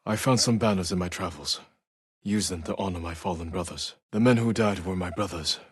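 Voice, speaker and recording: An actor playing a stoic, honorable samurai warrior in a video game, with restrained, solemn, reflective delivery and a soft-edged husky voice. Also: male voice, low-pitched, a little fast, dry recording, studio quality. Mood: solemn, earnest. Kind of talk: dramatic video game acting, reflective monologue.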